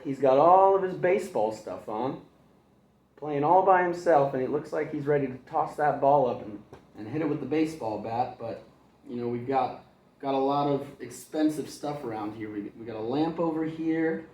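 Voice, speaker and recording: A man's voice: medium pitch, normal speed, darkish tone. Chatty male teacher reading aloud, echoey room. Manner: chatty, digressive asides, chuckles. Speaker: kindergarten teacher. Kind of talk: reading a picture book aloud to children.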